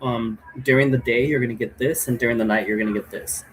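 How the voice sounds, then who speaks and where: A man with an average-pitched voice talks informally, like a host walking viewers through a tutorial. He rambles casually, repeats himself and checks in as he goes. A light layer of noise sits behind his voice. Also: steady tone, fast, fairly bright tone. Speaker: host giving an occult divination tutorial.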